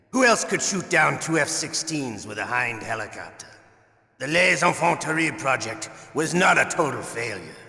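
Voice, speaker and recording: An actor playing a sadistic, theatrical older torturer in a video game, with a menacing, taunting, drawn-out delivery and a gravelly voice. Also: male voice, mid-range voice, sharp tone, heavily acted. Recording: echoey hall with long reverb.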